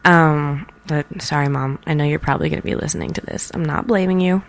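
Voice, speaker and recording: A woman's voice: low voice, steady tone, fast talker, noisy background. A woman from Israel chatting casually and playfully as an interview guest, informal and a little self-deprecating. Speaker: interview guest, jazz bassist. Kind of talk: casual chat.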